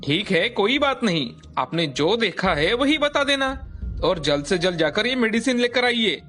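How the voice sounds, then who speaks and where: A man playing an authoritative hospital doctor in a storybook moral tale, with a brisk, businesslike manner. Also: high voice, sing-song, pushed and bright, faint music behind, full character voice. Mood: brisk, instructing. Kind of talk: dramatized Hindi moral story.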